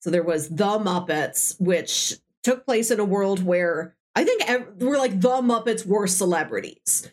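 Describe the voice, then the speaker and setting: A woman's voice: mid-range voice, expressive, unhurried, hardly any pauses. A woman co-hosting a casual film discussion, explaining things in a rambling, conversational way peppered with "like". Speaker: podcast co-host. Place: indoors, recorded in person.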